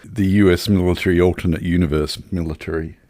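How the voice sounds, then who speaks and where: Low-voiced man, chatting wryly. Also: steady tone, fast, warm tone, no echo, clean studio sound. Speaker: podcast co-host reviewing films. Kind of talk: casual chat.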